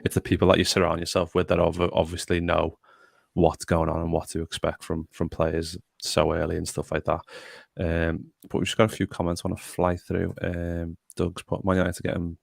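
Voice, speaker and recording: A man's voice: low-pitched, monotone, normal speed, gravelly, no room sound, studio quality. A young man hosting a casual podcast chat and reading out viewer comments. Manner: chatty, reads out viewer comments. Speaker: podcast host.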